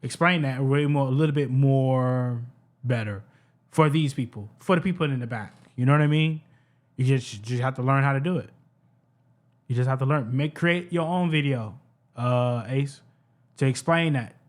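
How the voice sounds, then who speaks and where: A man with a high-pitched voice giving heated, profane reaction commentary, repeating himself and stumbling over his words. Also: moderate pace. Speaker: reaction streamer.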